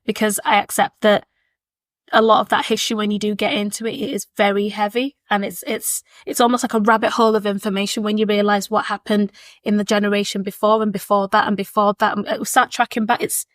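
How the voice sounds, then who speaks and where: Podcast guest: late-20s woman, mid-pitched, earnest and reflective, close dry recording. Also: little pitch movement, fast, no echo. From born in Zimbabwe, raised in Leeds, England. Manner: earnest, reflective, repeats words while forming thoughts. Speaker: podcast guest, education consultant. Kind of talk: thoughtful conversational interview answers. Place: seated at a table with the hosts.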